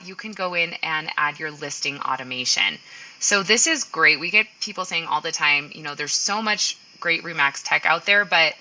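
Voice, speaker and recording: A woman giving an upbeat, explanatory software walkthrough in a live webinar. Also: sits low, poor audio. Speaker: software presenter.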